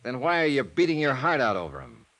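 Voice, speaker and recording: An actor in a 1950s radio drama playing a tough, wry investigator with a clipped, commanding manner. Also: male voice, medium pitch, huge pitch swings, fast, fairly bright tone. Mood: frank urging. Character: tough, cool, wry male insurance investigator.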